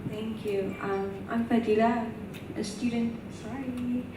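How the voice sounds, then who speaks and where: A woman student lays out a detailed question step by step from the floor of a conference. Her voice sounds distant from the microphone. It rings through a big echoing hall over steady room noise. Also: on the high side, slow pace. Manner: careful, detailed multi-part questioning. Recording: echoey hall, distant mic, noisy.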